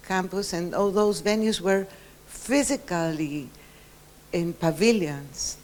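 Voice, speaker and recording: A middle-aged woman from Peru gives an earnest reflection to a conference workshop, pausing often as she speaks. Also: medium pitch, a little mellow.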